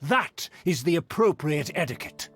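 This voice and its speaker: A haughty male aristocrat performed by a man for a video game. He speaks in a raised, very animated voice, pompous and sneering, with formal phrasing and music underneath. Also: fairly high pitch, normal speed, close to an everyday voice. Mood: indignant, condescending. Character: haughty, disdainful male aristocrat from a fallen noble clan. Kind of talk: video game character acting. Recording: music behind the voice.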